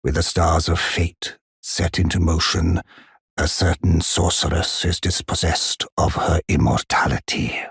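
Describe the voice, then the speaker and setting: A man voicing a gentle, wise giant blacksmith and war counselor in a fantasy game, speaking in a courteous, solemn, formal way with a deep, husky, gravelly voice. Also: steady tone, unhurried, dry recording, clean studio sound, exaggerated voice. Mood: grim. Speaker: video game character. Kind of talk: scripted fantasy game dialogue.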